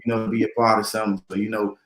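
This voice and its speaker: Low-voiced man in an echoey room. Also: level tone, a bit bright. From Bahamas. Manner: unhurried, many ums and you knows. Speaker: DJ and drummer, interview guest. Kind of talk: relaxed conversational interview.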